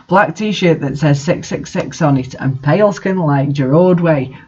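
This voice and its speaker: A woman's voice: lowish voice, slightly rough. A woman giving a dramatic, mocking amateur reading of fan fiction, with her own commentary. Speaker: reader. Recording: dull lo-fi sound.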